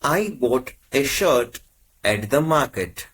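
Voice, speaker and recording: A man teaching as a language tutor, reading short prompts in slow, measured phrases. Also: medium pitch, sing-song, fairly bright tone, slight background noise. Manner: measured, carefully spaced phrases. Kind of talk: slow, deliberate lesson prompts.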